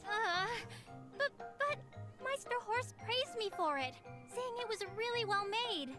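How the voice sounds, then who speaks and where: A woman playing an earnest, excitable teenage novice alchemist in an anime game, hesitant and easily startled. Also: very high voice, unhurried, full character voice. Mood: defensive. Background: game music.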